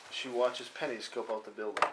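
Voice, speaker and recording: A man's voice: medium pitch, steady tone, a little fast. A man reading out scene headings and action lines as scripted narration at a table read. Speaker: stage-direction reader. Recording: noisy live room recording with clicks.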